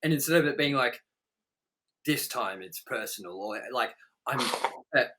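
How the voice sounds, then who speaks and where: A man co-hosting a casual, comedic movie review, excitable and joking, with some swearing. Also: medium pitch, normal speed, background noise. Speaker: movie review show host.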